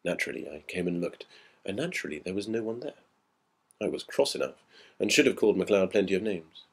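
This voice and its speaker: Male narrator for classic ghost story, very low and husky, measured dramatic delivery. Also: animated. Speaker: audiobook narrator.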